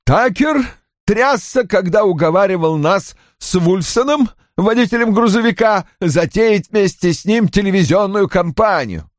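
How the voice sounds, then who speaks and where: A man voicing a high-strung, bitter older father, shift+. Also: expressive, unhurried, dry recording, clean studio sound. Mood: agitated. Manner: emphatic, cold, snappish. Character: bitter, brusque older father, irritable and defensive. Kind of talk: audiobook character acting.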